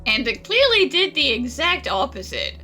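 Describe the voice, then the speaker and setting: Bright, blunt, defiant young woman. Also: high-pitched, very animated, moderate pace, heavily acted. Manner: blunt, sarcastic, casual. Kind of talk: video game voice acting. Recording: faint music behind the voice.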